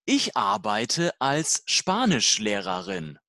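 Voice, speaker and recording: Language-course dialogue reader: very slow, deliberate delivery, lively pitch movement. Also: dry recording, studio quality. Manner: slow, deliberate, simple learner-level sentences.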